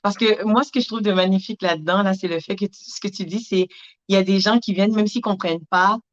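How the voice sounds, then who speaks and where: A woman's voice: mid-range voice, fairly even intonation, quick pace. A woman hosting an interview, asking questions and encouraging her guest with frequent affirmations. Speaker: interview host. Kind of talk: casual interview. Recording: dull lo-fi audio.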